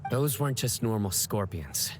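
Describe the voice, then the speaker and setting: An actor playing a stern, composed young desert enforcer in a video game, speaking in a measured, serious way. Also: male voice, on the low side, big pitch swings, heavy background noise. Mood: grave, suspicious. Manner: measured, serious, controlled delivery.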